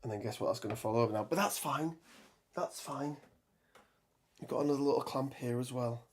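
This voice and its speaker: A man with a hoarse voice from a cold, chatting casually on a live stream while building terrain, with lots of ums and restarts. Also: medium pitch, brisk. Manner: rambling, many ums and restarts, reads chat aloud. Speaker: tabletop gaming streamer.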